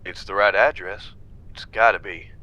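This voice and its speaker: A man playing a grieving, anxious young man in an audio drama, speaking haltingly. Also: deep voice, fairly even intonation, bright, forceful tone, dull audio. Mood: insistent. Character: grieving, anxious young man searching his boss's house.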